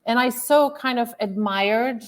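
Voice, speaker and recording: A woman's voice: a little high, measured pace. Turkish-born woman telling her story. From Turkey. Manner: loose, storytelling talk with many fillers. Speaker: guest, author and transformational coach. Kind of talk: casual interview chat.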